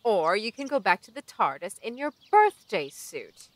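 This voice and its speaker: A woman's voice: mid-range voice, big pitch swings, moderate pace, dry recording, close to an everyday voice. A woman playing a principled, distrustful young companion in an audio drama, challenging and emotional in manner. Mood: awkward. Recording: clear background noise.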